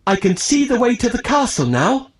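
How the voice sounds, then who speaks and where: A man's voice: very high voice, very animated, normal speed. A man voicing an earnest, determined young prince in a children's storybook, with careful, declarative enunciation. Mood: hopeful. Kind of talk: scripted children's storybook acting.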